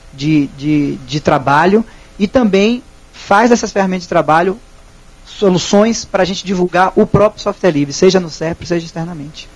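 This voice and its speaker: Brazilian man in his thirties, higher-pitched, husky tone, halting explanatory speaker on a handheld mic in a small auditorium. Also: highs cut off. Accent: Brazilian Portuguese. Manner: hesitant, many restarts, explanatory. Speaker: speaker at a technical talk. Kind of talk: presentation and audience Q&A. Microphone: handheld microphone.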